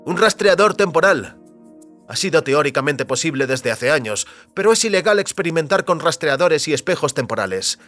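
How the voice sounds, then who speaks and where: An actor playing a wary, determined man trapped between powerful forces, speaking deliberately in an audio drama. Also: fairly high pitch, lively intonation, a bit bright, soft background music. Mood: explaining, intent.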